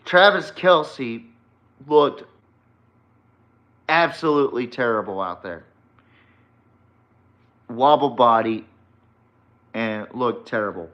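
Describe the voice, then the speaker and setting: A man's voice: medium pitch, animated, slow pace, pauses often. A man hosting a sports podcast solo, giving casual, opinionated football commentary in an emphatic, blunt way, swearing freely. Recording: dull, lo-fi sound.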